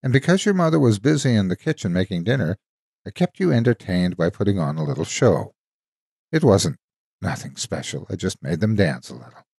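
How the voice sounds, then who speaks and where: A man narrating a dramatic story as a devoted, wistful ghostly guardian, an old soldier reminiscing tenderly in a husky, folksy way. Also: deep voice, animated, brisk, a little mellow, no echo, clean studio sound, heavily acted. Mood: fond reminiscing. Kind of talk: dramatic first-person horror storytime narration.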